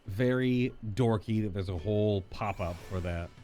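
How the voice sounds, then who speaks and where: A man's voice: fairly low pitch, normal speed, warm tone, soft background music. A man streaming a game playthrough, reading quest titles and making dry, offhand remarks. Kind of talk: casual playthrough commentary.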